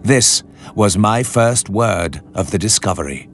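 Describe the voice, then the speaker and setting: A man playing an anxious scientist recounting a doomed expedition in measured, grave, formal radio storytelling. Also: fairly low pitch, animated, fairly bright tone. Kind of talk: dramatic literary audiobook narration for radio. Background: music under the voice.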